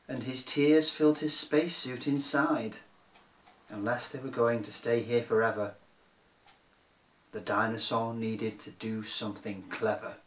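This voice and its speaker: Male children's storyteller, theatrical and deliberate picture-book read, forceful bright tone, roomy echo. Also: lowish voice. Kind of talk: expressive rhyming picture-book reading.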